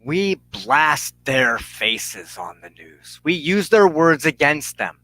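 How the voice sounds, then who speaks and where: A man hosting as a minister, delivering an impassioned scripted monologue in an emphatic, oratorical way with deliberate dramatic pauses. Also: a little high, big pitch swings, sharp tone, no echo, low-quality recording.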